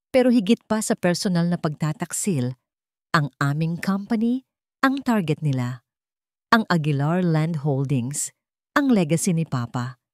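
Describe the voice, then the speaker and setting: A woman's voice: sits low, darkish tone, dry recording, studio quality. A woman reading a scripted dramatic story in the first person. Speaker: narrator of romantic drama stories.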